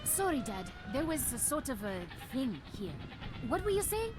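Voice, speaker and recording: A woman's voice: sits high, very animated, normal speed. An actress playing a stubborn, sarcastic young woman with a defiant edge in a game audio drama. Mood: rueful. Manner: sardonic, defiant. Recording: loud street and vehicle noise.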